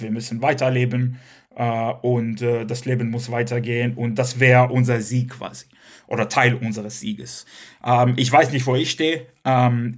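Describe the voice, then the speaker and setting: A man, a Hebrew speaker, answering podcast interview questions hesitantly with many fillers and restarts. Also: mid-range voice. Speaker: guest.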